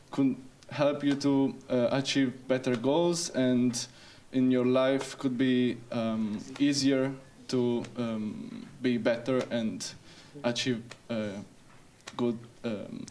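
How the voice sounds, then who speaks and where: A man from Italy, a student presenter, speaking slowly as he searches for his words. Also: mid-range voice, steady tone, a little mellow. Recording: distant mic with faint noise.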